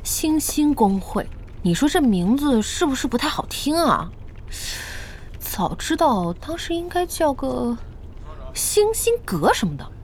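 An actress playing a brisk, bossy young female internet-café owner in an audio drama, direct and chiding. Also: sits high, sing-song, darkish tone, slight background noise, exaggerated voice. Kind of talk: Chinese audio drama acting.

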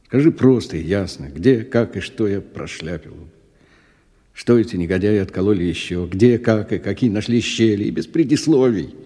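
A man's voice: low-pitched, a little mellow, husky. An actor playing a testy man in his fifties who reports formally to his superior in a radio drama, with irritable muttered asides. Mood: irritated muttering. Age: 50s. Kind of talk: Russian radio drama acting.

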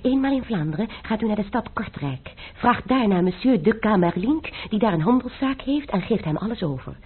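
A woman's voice: mid-range voice, lively intonation, normal speed, husky. An actress playing a secretive young French lady who gives a man urgent, precise orders in a radio drama, on an old tinny recording.

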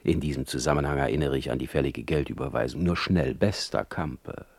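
A man's voice: extremely low pitch, fairly quick, creaky, breathy edge, heavily acted. A man playing a sardonic, imperious German poet in exile for a radio play, declaiming his words. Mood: wry, dictating. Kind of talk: radio play acting and letter reading.

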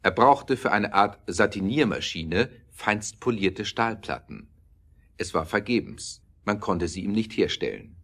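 Really low-voiced guy narrating a radio play, scripted. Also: lively intonation, a little slow, gravelly, fairly bright tone. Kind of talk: scripted audio-drama narration.

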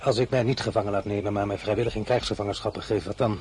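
An actor playing a proud, weary French emperor after his defeat, speaking in a measured, brooding way with flashes of bitterness. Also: lowish voice, fairly even intonation, darkish tone, slight background noise, lightly acted. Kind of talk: radio play acting.

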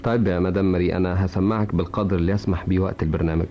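A man with an Egyptian accent hosting a radio interview, asking brisk questions and laughing along. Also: low-pitched, steady tone, over loud music. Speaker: radio host. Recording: dull old archive radio recording.

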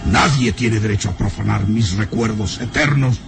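A man's voice: on the low side, normal speed, gravelly, music in the background. An actor playing a brooding, jealous widowed father and castle lord in a radio drama, menacing and theatrical. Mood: jealous, furious. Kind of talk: old radio drama acting.

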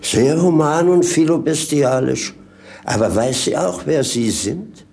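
A roguish, down-to-earth servant in a vintage radio comedy. The voice is fairly high and gravelly, swooping through mock-pompous, teasing lines with theatrical relish. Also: male voice, lively intonation, measured pace, a little mellow. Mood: dry, teasing. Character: roguish servant companion, witty, mocking, down-to-earth opportunist. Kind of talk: 1950s German radio play acting.

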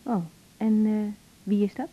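An actress playing a caring, overworked young doctor in a naturalistic radio drama, talking gently with small hesitations. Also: female voice, mid-range voice, fairly even intonation, measured pace, husky. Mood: calm, concerned. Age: young adult. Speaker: general practitioner in a radio drama. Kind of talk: naturalistic 1980s Dutch radio drama acting. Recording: slightly dull 1980s radio recording.

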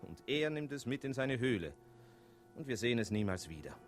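A sly, comfort-loving tomcat acted by a man tells a fairy tale in a 1960s radio play. The mid-pitched voice swoops about playfully and theatrically, with faint music in the production. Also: sing-song, brisk, lightly acted. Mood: foreboding. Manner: playful, theatrical, digressive, punctuated by meows. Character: talking tomcat narrator, sly, chatty, fond of comfort. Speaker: narrator.

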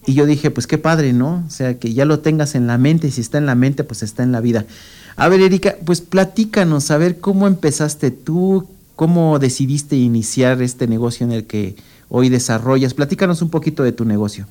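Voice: mid-range voice, moderate pace, mellow. A radio host interviewing a guest, asking long, encouraging, rambling questions.

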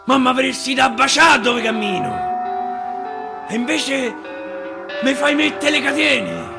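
A man voicing an outraged male explorer betrayed by his king, hurling indignant shouted accusations. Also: very high voice, slow, creaky, voice pushed up, exaggerated voice. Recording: guitar playing behind.

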